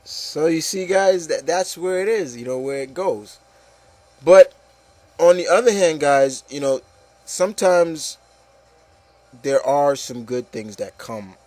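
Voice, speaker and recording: A man speaks as host in a casual, emphatic rant. His voice sits slightly high, and he circles back on his phrases to drive them home. The sound is dry and close. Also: lively intonation, slow, long pauses, darkish tone, no room sound. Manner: emphatic, repetitive, peppered with okay and you know. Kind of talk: casual opinion rant with co-hosts.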